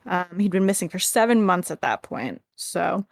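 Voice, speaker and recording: A woman's voice: fairly low pitch, big pitch swings, moderate pace, creaky, no echo. Chatty woman podcast co-host, dry sound. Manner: chatty, reads case notes aloud. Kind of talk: casual podcast chat.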